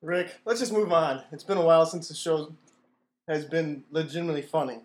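Highish-voiced, self-important man, roomy sound. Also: brisk. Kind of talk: comedic podcast banter.

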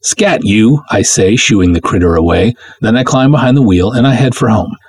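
A man narrates as a plucky but jittery young woman telling a spooky first-person tale. The delivery is animated and swings freely in pitch, with a husky edge and breezy 1950s slang. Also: moderate pace, no room sound. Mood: wry, exasperated.

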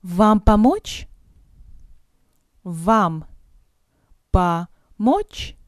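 A woman's voice: medium pitch, very animated, drawn-out words, no room sound, studio quality. A woman teaching vocabulary in a drill, saying each phrase with careful enunciation, then breaking it up word by word and repeating it. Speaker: language teacher.

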